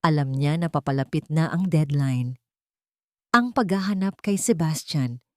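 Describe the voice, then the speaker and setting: Low-voiced Filipino woman narrating drama. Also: unhurried, mellow, no echo, clean studio sound. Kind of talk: dramatic scripted first-person story narration.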